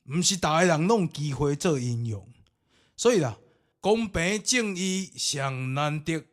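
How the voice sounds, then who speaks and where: A man's voice: on the high side, animated, a little slow. A man with a Taiwanese accent narrating ghost stories and commenting on them in a theatrical, colloquial way. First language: Taiwanese Hokkien. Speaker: podcast host and storyteller.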